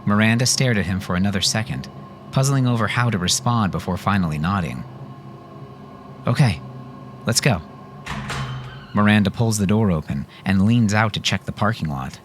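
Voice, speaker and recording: A man's voice: on the low side, expressive, a little fast, a little hiss or hum. A man narrating scripted fiction dramatically, as an audiobook narrator.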